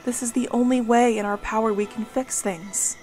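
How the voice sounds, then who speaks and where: Woman doing a calm wise goddess pony, kinda high, soft music behind. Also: normal speed, lightly acted.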